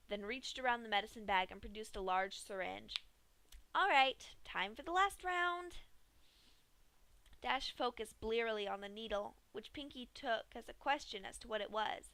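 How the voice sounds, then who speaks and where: A woman reading a dark fanfic aloud in a hushed, steady, dramatic way. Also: mid-range voice, no room sound. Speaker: fanfic reader.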